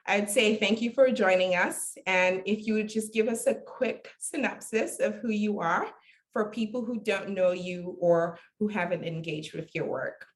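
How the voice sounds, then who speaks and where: A woman's voice: mid-range voice, steady tone, a little slow. A woman hosting an online panel event, formally welcoming guests and introducing speakers. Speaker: host, executive director introducing a panel.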